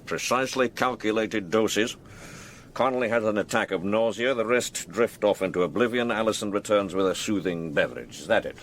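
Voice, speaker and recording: An actor playing a wry, deliberate senior police detective, a man questioning his team in a radio drama. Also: fairly low pitch, a bit bright, slight background noise. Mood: musing, sceptical. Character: wry senior detective leading a case briefing.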